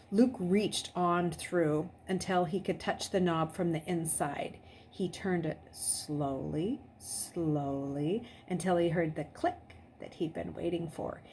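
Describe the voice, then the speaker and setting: Female read-aloud host, lowish voice, expressive storyteller delivery, light background noise on the recording. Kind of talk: chatty greeting, then expressive children's novel read-aloud. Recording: noisy background.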